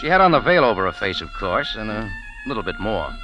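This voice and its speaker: Low-voiced hardboiled radio adventurer. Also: male voice, sing-song, fast talker, low-quality recording. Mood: dreamy, ominous. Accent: American. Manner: dramatic, clipped storytelling. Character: wry, tough male adventurer narrating his story. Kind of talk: 1940s-50s hardboiled radio drama narration. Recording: vintage radio, music behind.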